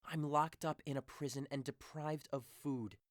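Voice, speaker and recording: A man's voice: a little high, steady tone, normal speed, no echo, studio quality, lightly acted. A man playing a lovesick, melancholy young nobleman with dramatic, emotive delivery in an audio drama. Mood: miserable, dramatic. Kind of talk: audio drama acting of modernized Shakespeare.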